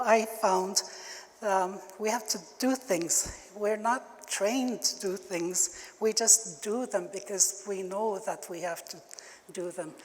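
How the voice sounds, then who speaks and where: An older woman who grew up speaking Ojibwe, giving a keynote talk at a conference in a slow, halting way with many fillers. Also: mid-range voice. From Canada. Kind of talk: keynote address. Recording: long hall reverb.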